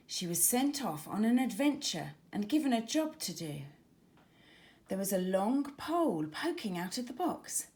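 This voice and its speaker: Animated, higher-pitched woman storyteller. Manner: clear dramatic emphasis for young children. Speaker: children's author reading own book. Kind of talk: expressive storybook narration.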